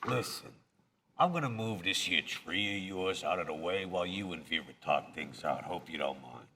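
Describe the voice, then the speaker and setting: Man playing a deep, streetwise tough-guy vampire. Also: lively intonation, normal speed, pushed and bright, slight background noise. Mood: breezy. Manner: blunt, folksy gangster slang. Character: tough, streetwise, plain-speaking male vampire. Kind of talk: improvised tabletop roleplay before a live audience.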